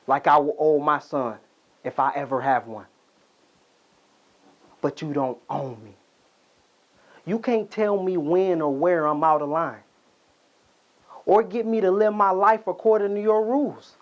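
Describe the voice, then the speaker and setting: A man's voice: fairly high pitch, natural voice. Man acting a defiant son, muffled recording. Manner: confrontational, emphatic. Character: angry, wounded grown son defying his father. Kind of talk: dramatic stage monologue.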